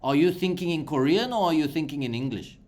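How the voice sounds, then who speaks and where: An English tutor whose first language is Bulgarian gives a patient one-on-one online lesson over a video call, speaking slowly and encouragingly and repeating and spelling out words. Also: medium pitch, lively intonation, a little mellow.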